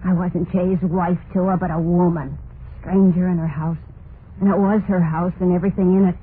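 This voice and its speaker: An actress playing a tormented, murderous young wife in a vintage radio horror play, confessing in a brooding, repetitive monologue with plain folksy speech. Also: female voice, lowish voice, fairly even intonation, normal speed, phone-quality sound, close to an everyday voice. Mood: bitter, resentful. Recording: noisy, band-limited vintage radio recording.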